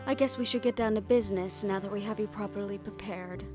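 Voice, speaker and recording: A woman's voice: mid-range voice, steady tone, quick pace, over music, close to an everyday voice. A woman playing a poised, worldly hotel manager in an assassin underworld audio roleplay, speaking in a composed, gracious and knowing manner. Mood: warm, teasing. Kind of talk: roleplay audio drama acting.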